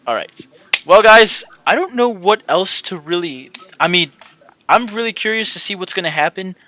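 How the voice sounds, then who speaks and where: Guy doing casual gaming commentary, bright forceful voice, rambles and repeats himself to viewers. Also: high-pitched, lively intonation, moderate pace. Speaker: gaming channel host. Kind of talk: casual let's-play commentary and outro.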